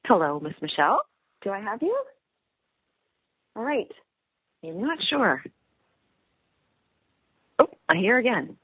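A woman's voice: slow, pauses often, husky, no echo. A woman co-hosting a radio talk show, bubbly and chatty, laughing often as she talks over a phone line. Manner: bubbly, laughs often, lively pitch swings. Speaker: co-host.

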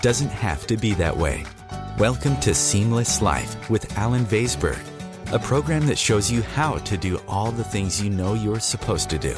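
A man's voice: on the low side, animated, unhurried, over music. A man reading a polished, scripted intro for a radio show. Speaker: show announcer. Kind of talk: scripted promo intro over music.

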